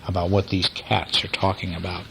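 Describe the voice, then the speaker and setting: Man voicing a curious, sly pigeon, bright-toned. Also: deep voice, little pitch movement, moderate pace, creaky, slight background noise, voice pushed down, full character voice, poor audio. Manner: musing to himself. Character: eavesdropping male gray pigeon, curious, sly.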